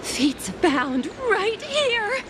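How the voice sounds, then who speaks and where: An actress playing a theatrical herald in a video game, declaiming wonders dramatically. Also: female voice, very high-pitched, sing-song, extremely slow, noisy background, exaggerated voice. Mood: dramatic. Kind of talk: video game character dialogue. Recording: loud game music under the voice.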